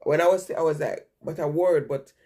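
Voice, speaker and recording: Husky stuffy-nosed woman vlogger. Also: deep voice, fairly even intonation, brisk, dark tone. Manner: rambling, chatty, repeats herself. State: stuffy nose from a cold. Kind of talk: casual chat to viewers.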